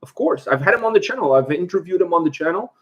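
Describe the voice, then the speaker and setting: A man's voice: high-pitched, lively intonation, quick pace, husky, lo-fi sound. Chatty man hosting a livestream. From Portugal. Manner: chatty, reads viewer questions aloud. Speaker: host. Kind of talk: casual livestream chat.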